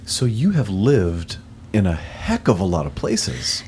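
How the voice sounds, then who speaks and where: Deep-voiced easygoing male host. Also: very animated, unhurried, a little mellow, a little hiss or hum. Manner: easygoing, short affirmations. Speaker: podcast co-host. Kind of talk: casual interview.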